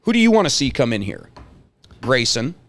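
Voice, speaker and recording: A man's voice: medium pitch, sing-song, fairly quick, a bit bright. A man hosting a casual talk show, chatting in a folksy way and prodding guests with questions. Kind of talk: casual chat, interviewing guests.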